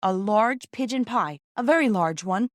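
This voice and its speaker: Woman acting eager ten-year-old boy, storybook character, insistent, higher pitch, dry recording. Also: animated, moderate pace, no echo, close to an everyday voice. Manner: emphatic, insistent.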